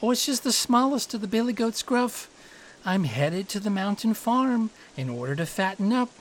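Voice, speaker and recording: A man's voice: high-pitched, big pitch swings, normal speed, a little hiss or hum, pitched above the performer's own voice. A man voicing the smallest young billy goat, timid and pleading, with a delicate, thin voice. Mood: meek.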